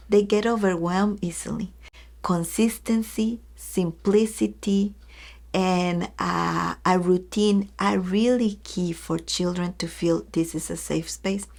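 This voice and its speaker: A woman's voice: medium pitch, creaky, darkish tone. A woman giving an earnest teaching talk to educators, speaking to her listeners directly and acting out everyday examples. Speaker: early childhood educator.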